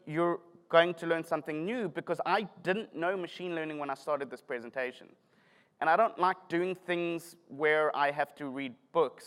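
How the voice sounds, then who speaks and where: A man's voice: on the high side, fairly bright tone, smooth. A middle-aged man with a South African accent giving a self-deprecating, joking conference talk. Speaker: conference speaker. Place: conference hall. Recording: long hall reverb.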